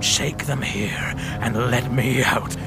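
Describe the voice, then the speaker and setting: An actor playing a whiny, hot-headed gangster brother in a comic noir audio drama. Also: male voice, mid-range voice, slow. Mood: indignant. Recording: loud music and car sounds.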